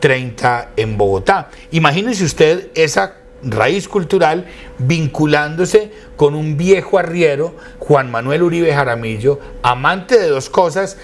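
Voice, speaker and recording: A man from Quindío with a Colombian accent, telling stories as an interview guest in formal, eloquent, elaborate phrases. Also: a little high. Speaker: interview guest, academic and radio station director. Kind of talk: conversational storytelling in an interview.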